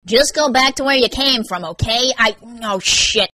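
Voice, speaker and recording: A woman's voice: mid-range voice, normal speed, fairly bright tone, voice pushed up, natural voice. A woman voicing a hot-tempered, sarcastic, foul-mouthed young man in a story narration. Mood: evasive, irritated.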